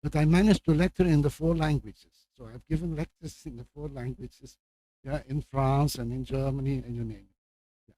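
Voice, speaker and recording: Older male guest professor, Flemish Belgian accent, mid-pitched and very mellow, addressing an audience in an informal, halting lecture style. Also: low-quality recording. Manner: halting, with repeated words and asides.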